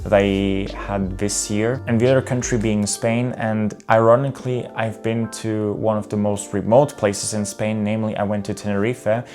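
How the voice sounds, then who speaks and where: Candid low-voiced young man vlogging. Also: level tone, moderate pace, few pauses, darkish tone. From Serbia. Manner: candid, rambling with restarts and fillers. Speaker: travel vlogger. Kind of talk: casual travel vlog talk. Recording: faint guitar music behind.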